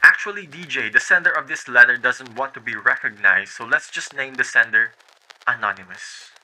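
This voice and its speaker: A man's voice: medium pitch, normal speed, poor audio. A man working as a radio DJ, reading scripted lines and letters for an amateur radio drama. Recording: loud guitar music behind. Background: acoustic guitar instrumental.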